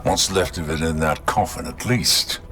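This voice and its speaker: A sardonic old tech-warrior. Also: male voice, rumbling low, normal speed, loud music behind the voice. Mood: wry. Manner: dry, sarcastic, drawling. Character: wry veteran male tech-priest warrior, sardonic.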